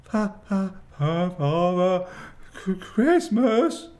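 A timid old dog fox played by a man, courteous to a fault. He pitches up high and stumbles over his words in fear. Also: very animated, extremely slow, darkish tone, full character voice. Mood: stammering. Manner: stammering, high and fearful.

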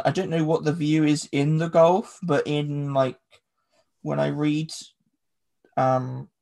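A man's voice: on the high side, gravelly, a little mellow. An English man hosting a podcast, rambling through casual chat with lots of filler words. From England. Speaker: podcast host.

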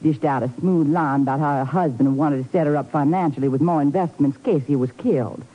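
An actress playing an indignant, sassy Southern belle in a 1950s radio drama, with a drawling, folksy Southern accent. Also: female voice, sits low, fast, faint music behind. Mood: accusing. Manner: drawling, folksy, honeyed but accusing. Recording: muffled 1950s radio recording.

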